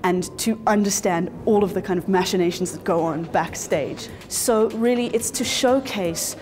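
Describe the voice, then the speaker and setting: A woman's voice: medium pitch, moderate pace, a little mellow, soft background music. A South African woman speaking in an interview as an organiser, articulate and explanatory. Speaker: festival organiser.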